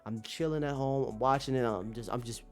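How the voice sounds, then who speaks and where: A male streamer talks off the cuff to his audience in a mid-pitched voice, with music playing behind him. His delivery is slangy and emphatic, circling back on phrases to drive them home. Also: brisk, darkish tone. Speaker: streamer and manga commentator. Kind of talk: casual rambling vlog monologue to viewers.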